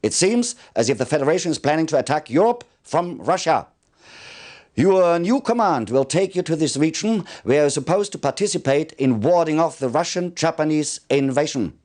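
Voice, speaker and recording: An actor playing a formal military commander, giving measured, deliberate mission briefings in a video game cutscene. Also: male voice, fairly high pitch, expressive, a little mellow, natural voice. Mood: official, serious.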